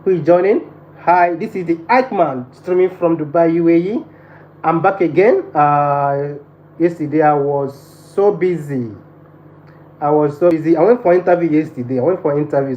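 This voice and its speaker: A man from Nigeria with a Nigerian accent hosting a casual livestream, addressing viewers and repeating his phrases insistently. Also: fairly high pitch. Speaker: live stream host. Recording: dull lo-fi live stream, background noise.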